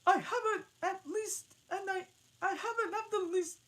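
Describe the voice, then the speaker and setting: Woman reading as a flustered storybook girl. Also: fairly bright tone. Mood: indignant. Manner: higher, flustered, halting. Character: bewildered young girl, polite but indignant.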